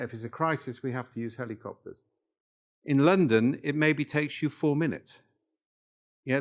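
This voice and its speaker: Older welsh-born guy lecturing a workshop, chatty, keeps asking yeah? right?. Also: medium pitch. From Wales. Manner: conversational, checks in with "yeah?" and "right?". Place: university lecture room.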